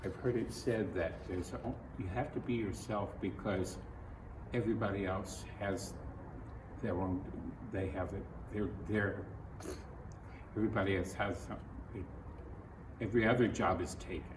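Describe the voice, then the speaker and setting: A man's voice: on the low side, fairly even intonation, moderate pace, long pauses. An older man reading a children's picture book aloud, with folksy, grandfatherly asides spoken directly to young listeners. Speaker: storybook reader. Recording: roomy echo, distant mic, noisy background.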